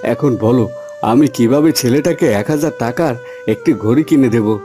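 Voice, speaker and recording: A man's voice: medium pitch, normal speed, dark tone, lightly acted. A man voicing a humble, hesitant poor farm labourer and father in a fairy-tale cartoon. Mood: worried, resigned. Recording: music under the voice.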